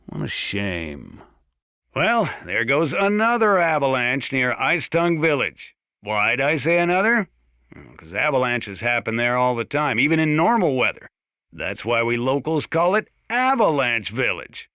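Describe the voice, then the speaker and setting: Folksy old American sheriff, bright forceful voice. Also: male voice, medium pitch, dry recording, studio quality. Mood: wry, resigned, then amused, wry. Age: older. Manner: folksy, rambling, drily humorous. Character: folksy old small-town sheriff giving wry emergency broadcasts. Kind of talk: video game radio announcement acting.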